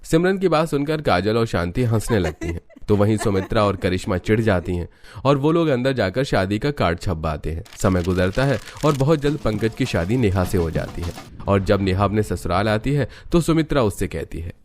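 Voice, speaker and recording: Man, low voice, storyteller narration, cartoon family tale, music behind. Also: lively intonation, moderate pace.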